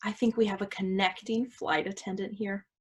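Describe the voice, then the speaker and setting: A woman's voice: medium pitch, flat delivery, normal speed, breathy edge, lightly acted. An actress as a smug, controlling second-in-command woman. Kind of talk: staged play reading.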